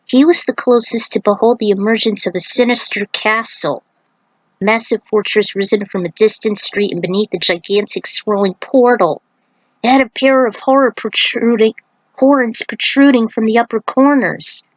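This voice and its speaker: A woman's voice: a little high, fairly even intonation, normal speed, dry recording, low-quality recording. A woman narrating fan fiction as its writer, in an informal amateur reading style with small stumbles and self-corrections. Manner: informal, stumbles over words and corrects herself. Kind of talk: amateur story reading with casual author commentary.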